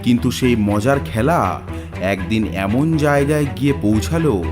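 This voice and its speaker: A man's voice: mid-range voice, animated, normal speed. A man narrating a scripted cartoon horror tale as a dramatic storyteller. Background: background music.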